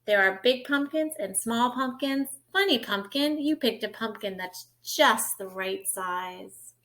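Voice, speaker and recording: A woman's voice: a little high, lively intonation, a little slow, fairly bright tone. A woman voicing a cheerful little girl who talks to her puppy in a coaxing, sing-song way.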